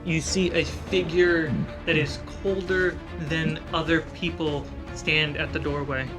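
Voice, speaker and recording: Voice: mid-range voice, level tone, unhurried. A game master running a tabletop game, describing scenes and calling for rolls, with music under the voice.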